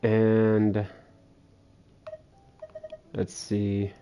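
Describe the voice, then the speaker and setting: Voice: mid-range voice, completely flat delivery, drawn-out words, mellow, soft background music. A game streamer giving casual livestream commentary, muttering and thinking aloud while playing.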